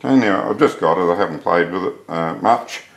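Older Aussie hobbyist with a very deep, gravelly voice, casual and self-deprecating product review. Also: male voice, brisk. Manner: chatty, plainspoken, self-deprecating. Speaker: hobby welder reviewing gear. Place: workshop shed.

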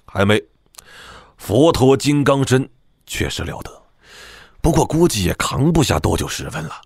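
Gruff old wuxia swordsman, raspy and teasing, really dry close recording. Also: medium pitch, very animated, normal speed, dry recording, heavily acted. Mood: gossipy, grim. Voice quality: gravelly. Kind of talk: Chinese wuxia radio drama acting.

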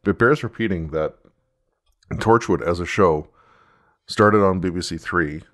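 A man in a casual fan discussion as a podcast host, halting and circling back as he explains. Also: low voice.

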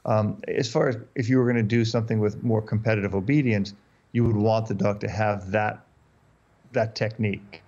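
A man's voice: on the low side, steady tone, normal speed, darkish tone, muffled recording. A man in his fifties, a native German speaker, explaining dog training on a video call, often restarting and repeating words. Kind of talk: interview guest on a video call. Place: dark room indoors. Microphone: headphones and desk mic on a video call.

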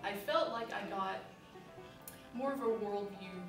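A woman's voice: medium pitch, measured pace. Young woman alumna, echoey distant sound. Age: young adult. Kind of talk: interview. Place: classroom with windows. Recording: echoey, distant, guitar music.

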